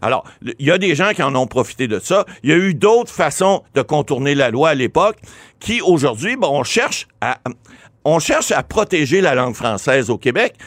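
A man with a Québécois French accent, a lawyer commenting on a talk show, animated and often restarting his words. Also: on the high side, moderate pace, no echo.

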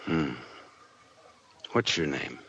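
An actor playing a hardboiled, wisecracking private detective with clipped, sardonic delivery in 1940s radio noir. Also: male voice, very deep voice, lively intonation, drawn-out words, fairly bright tone, slight background noise, heavily acted. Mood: quiet probing. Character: wisecracking, hardboiled male private detective, world-weary charmer. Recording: muffled 1940s radio recording.

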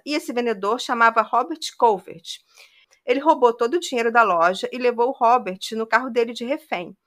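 Woman podcast host, bright tone, chatty crime storyteller. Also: fairly high pitch, fairly quick. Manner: conversational retelling with frequent "né".

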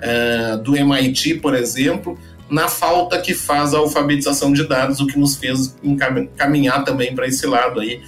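A man's voice: mid-range voice, steady tone, moderate pace, a bit bright, soft background music. A man, a podcast guest who explains his data business at length with frequent restarts and fillers. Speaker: guest, data company founder. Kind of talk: podcast interview answers.

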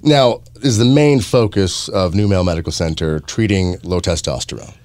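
A man co-hosts a podcast in a jokey, chatty radio style. His voice sits on the low side. He keeps the conversation loose and playful. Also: normal speed, darkish tone, no room sound. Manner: joking, chatty interviewer. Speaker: podcast co-host, radio morning show host. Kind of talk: casual chat.

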